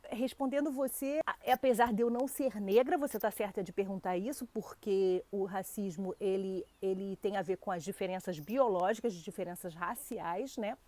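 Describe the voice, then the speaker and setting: A Brazilian woman with a Brazilian Portuguese accent speaks to camera as the host of her own channel. Her voice is warm and mellow, and she sits in a mid-range pitch typical for a woman. She talks to her viewers in a chatty, informal way, full of small fillers. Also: moderate pace, few pauses, no room sound. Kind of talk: casual talk to camera.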